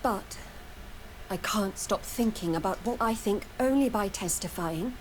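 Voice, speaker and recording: A woman's voice: medium pitch, unhurried, close to an everyday voice, low-quality recording. Mid-range female voice, acted guarded scientist, grave, dutiful, clipped, fantasy game dialogue, faint ambient noise. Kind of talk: video game dialogue acting.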